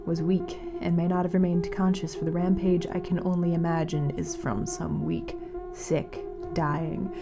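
A woman playing a grave, mournful radio announcer in a fiction podcast, speaking solemnly and dramatically with weighted pauses. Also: fairly low pitch. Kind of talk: scripted fiction-podcast narration as a community radio broadcast.

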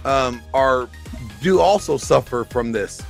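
A man in his forties on a video call podcast, weighing in slowly with many fillers and restarts. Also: medium pitch, lively intonation, vocal fry, faint music behind. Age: 40s. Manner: halting, full of fillers and restarts. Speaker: podcast host. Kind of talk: casual debate on a video call. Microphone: studio mic on a video call.

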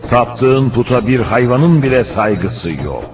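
A man voicing a solemn, devout prophet who preaches and warns in a grave, measured manner in a religious cartoon. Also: medium pitch, sing-song. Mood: preaching. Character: solemn devout prophet warning his people, also narrating. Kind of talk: dramatic religious cartoon voice acting. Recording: dull lo-fi cartoon soundtrack with music.

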